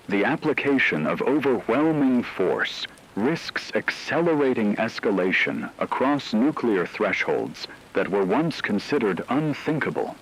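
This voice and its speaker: A man playing a grave war analyst in a scripted emergency radio broadcast, measured, formal and ominous, over a noisy, rain-like hiss. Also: low-pitched, a bit bright, heavily acted. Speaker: news correspondent.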